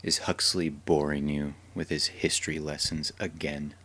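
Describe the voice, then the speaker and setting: A man's voice: a bass voice, flat delivery, normal speed, pushed and bright, heavily acted. A man playing a jokey, encouraging father who is a veteran vampire hunter, in a radio drama. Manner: jokey, encouraging, laughs often. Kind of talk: hobby radio drama acting.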